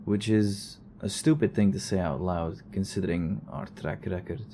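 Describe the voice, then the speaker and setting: A man's voice: sits low, steady tone, moderate pace, warm tone, slight background noise. An actor playing a weary, wry male investigator who records a conversational log in an audio drama, with a dry, sardonic, rambling manner.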